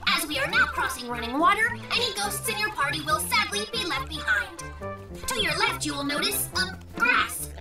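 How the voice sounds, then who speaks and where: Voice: very high voice, big pitch swings, normal speed, echoey room, recorded from a distance, heavily acted. An actor voicing a cheerful little robot navigation gadget in a children's cartoon, formal and precise yet chatty, with music behind. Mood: chatty, pleased. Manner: formal, precise announcing, eager to please.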